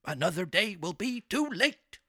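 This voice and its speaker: Sneaky storybook fox, put on by a reader, bright voice swooping all over, wheedling n mock-gentlemanly. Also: medium pitch, very animated, moderate pace, dry recording, studio quality. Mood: coaxing. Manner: theatrical, persuasive, mock-gentlemanly. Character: sly, wheedling con-artist fox, falsely sympathetic. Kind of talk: storybook character reading.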